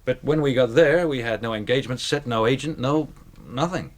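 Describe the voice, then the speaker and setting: An actor playing a deferential, plain-spoken American stage magician in a radio drama, with an American accent and a hesitant manner. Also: male voice, medium pitch, brisk, lightly acted. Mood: apologetic. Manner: hesitant, polite.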